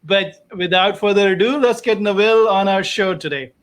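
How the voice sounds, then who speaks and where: A man speaking as host of a casual interview show, in a halting, repetitive and encouraging way. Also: high voice, a bit bright. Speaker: host, doctor interviewing his patient. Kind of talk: casual online show chat.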